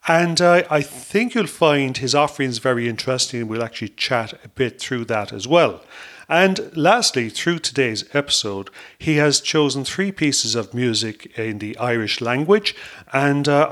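A man hosts a podcast interview in an upbeat, chatty manner, his voice sitting at an ordinary middle pitch. The sound is dry and close, with no room echo. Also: animated, normal speed, fairly bright tone. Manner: upbeat, chatty, with frequent fillers. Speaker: podcast host.

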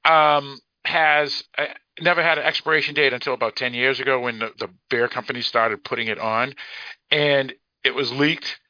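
A man's voice: medium pitch, steady tone, moderate pace, dry recording. Boston-accented man, dull podcast sound. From Boston area, United States. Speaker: podcast co-host. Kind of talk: casual podcast discussion.

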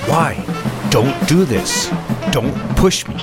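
An actor playing a volatile, menacing husband in an audio drama, halting and emotionally strained. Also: male voice, fairly high pitch, lively intonation. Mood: anguished, menacing. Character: volatile, menacing husband afraid of his own violence. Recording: loud music under the voice.

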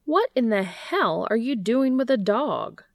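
A brash, nosy brother, acted out by a woman reading an audiobook, blunt and mocking in his disbelief. The voice has heavy gravelly fry and sits in a dry recording. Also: on the high side, very animated, measured pace, dry recording, voice pushed up, heavily acted. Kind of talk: audiobook character dialogue.

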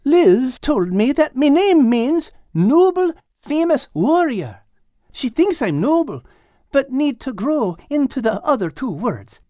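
A man's voice: extremely high pitch, sing-song, slow pace, warm tone, dry recording, clean studio sound, pitched above the performer's own voice, full character voice. A man voicing a simple, good-hearted male cat in an earnest, folksy manner, in an audiobook. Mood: eager, earnest. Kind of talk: audiobook character acting.

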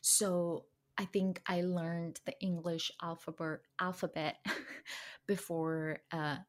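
A woman's voice: on the low side, little pitch movement, takes it slowly. A young woman from India with an Indian accent, a guest on a podcast, talking casually with many fillers and frequent laughter. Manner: chatty, laughs often, many fillers and restarts. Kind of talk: casual conversation.

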